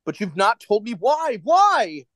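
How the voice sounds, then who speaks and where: A woman playing an anguished, fearful prisoner under torture in a radio drama, with halting, pleading, broken delivery. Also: a little high, very animated, dry recording, studio quality. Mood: puzzled, halting. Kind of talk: theatrical radio drama acting.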